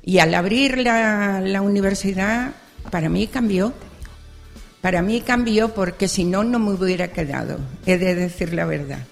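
A woman's voice: mid-range voice, measured pace, creaky. An older woman from Extremadura sharing her story into a handheld microphone at a public event, repeating phrases in an earnest, chatty way. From Extremadura, Spain. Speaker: audience member, senior university student. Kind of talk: spontaneous testimony at a public event. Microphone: handheld microphone passed around. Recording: hall reverb with faint music.

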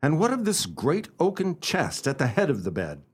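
A man playing a formal, self-assured gentleman detective in a radio play, speaking in a deliberate, theatrical way. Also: fairly high pitch, huge pitch swings, husky, exaggerated voice. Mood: intrigued, probing. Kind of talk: radio play acting with first-person narration.

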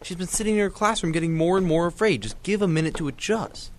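A man's voice: high voice, quick pace, slight background noise, lightly acted. A man playing an earnest, reasonable teenage student in a radio drama, pleading and reassuring.

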